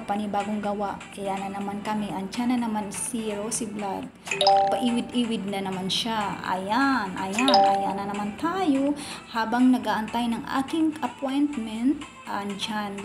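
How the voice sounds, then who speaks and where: A woman in her thirties from the Philippines, vlogging casually about her clinic workday, chatty and rambling, filmed on a phone held at arm's length in a small clinic room. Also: on the high side. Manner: chatty, rambling, repeats herself. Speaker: vlogger and slimming machine operator. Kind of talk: casual vlog narration.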